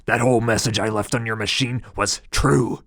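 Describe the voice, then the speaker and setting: A man voicing an unhinged young yuppie who talks in a tense, emphatic, shouting way. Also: fairly low pitch, steady tone, normal speed, dry recording, studio quality, voice pushed up. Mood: frantic. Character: unhinged young male yuppie, desperate and intense.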